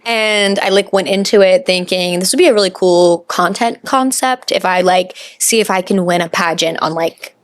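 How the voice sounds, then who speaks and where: A woman's voice: fairly low pitch, moderate pace, hardly any pauses, no room sound. A woman in her twenties from New York with an American accent, chatting casually and informally in slangy speech as a podcast guest. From New York, USA. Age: 20s. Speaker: podcast guest, pageant titleholder. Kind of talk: casual chat.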